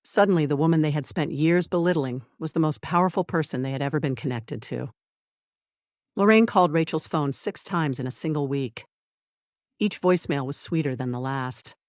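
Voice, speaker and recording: A narrator reading a scripted dramatic story in a measured, deliberate way, with short phrases and pauses. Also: mid-range voice, no echo, studio quality. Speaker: audiobook narrator.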